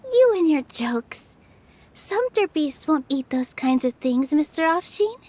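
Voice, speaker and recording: Very high-voiced, playful young woman. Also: very animated, takes it slowly, dark tone, full character voice. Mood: amused. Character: gentle, playful young woman, sweetly teasing. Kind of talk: video game voice acting. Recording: music under the voice.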